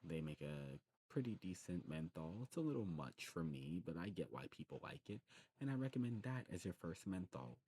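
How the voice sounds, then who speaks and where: A man playing a courteous old demon shopkeeper in an ASMR roleplay, talking to the listener in a genteel way and musing with hums and pauses. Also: low voice, big pitch swings, warm tone, a little hiss or hum. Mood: musing, generous.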